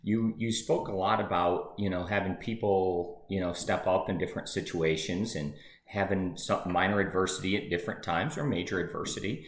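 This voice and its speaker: A man co-hosting a real estate talk show, chatting in an easygoing, halting way with filler words. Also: sits low, steady tone. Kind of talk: casual chat. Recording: echoey room.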